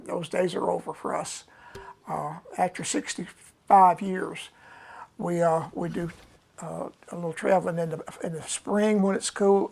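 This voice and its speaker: Old guy in his 70s, gravelly voice, folksy slow rambling chat on a live stream. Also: high-pitched, a little mellow. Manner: folksy, unhurried, many "uh" pauses. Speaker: musician hosting a live stream.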